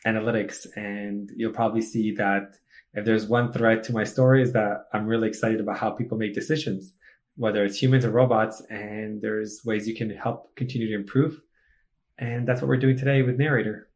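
Animated man from Egypt, guest talk. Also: lowish voice. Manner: animated storyteller, fast bursts and filler "like". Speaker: guest, startup founder. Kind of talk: casual interview chat.

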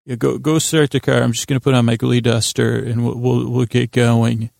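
A man hosting a bedtime podcast with a rambling, halting, self-interrupting improvised monologue, goofy and self-deprecating. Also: mid-range voice, flat delivery, gravelly, a little mellow, no room sound, studio quality. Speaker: podcast host.

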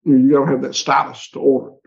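A man's voice: mid-range voice, completely flat delivery, racing, gravelly, highs cut off. A man with a Southern US accent, a livestock farmer talking in an interview, rambling and folksy with frequent restarts. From American South. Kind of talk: conversational interview.

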